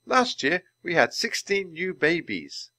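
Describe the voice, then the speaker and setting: A man voicing a poised young woman scientist who speaks in a formal, measured, instructive way. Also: sits high, very animated, bright, forceful tone, no room sound, studio quality, voice pushed up, exaggerated voice.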